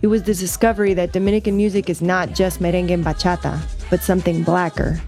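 A woman's voice: fairly low pitch, level tone, quick pace, over music. A woman from the United States narrating with reflective, earnest storytelling as host. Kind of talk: scripted narration.

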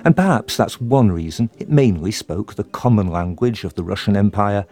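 Low, dark-voiced male radio reporter. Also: lively intonation, normal speed, soft background music. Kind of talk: scripted documentary narration with interviews.

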